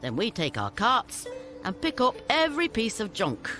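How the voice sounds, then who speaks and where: A sardonic scavenger boss from a fantasy video game. The delivery is very animated and businesslike, talking down to newcomers while selling a deal. Faint music sits behind the voice. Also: mid-range voice, normal speed. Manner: condescending, businesslike. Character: brisk, shrewd scavenger boss, sardonic dealmaker.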